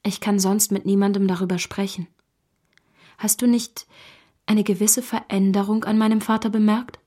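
Radio drama role: gentle young daughter played by a woman, earnest, natural mid-range voice. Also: medium pitch, level tone, moderate pace, lightly acted. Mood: worried. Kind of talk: literary radio drama dialogue.

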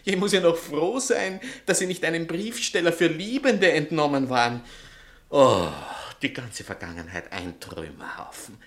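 An actor playing a vain, nervous writer and former lover in a satirical radio play, theatrical, sarcastic and easily agitated. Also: male voice, fairly high pitch, expressive, moderate pace, lightly acted. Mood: wounded indignation.

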